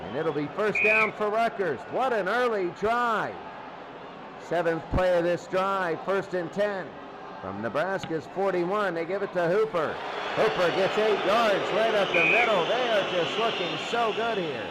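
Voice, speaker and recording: High-voiced male football play-by-play announcer, noisy recording. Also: lively intonation, unhurried. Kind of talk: live American football play-by-play commentary.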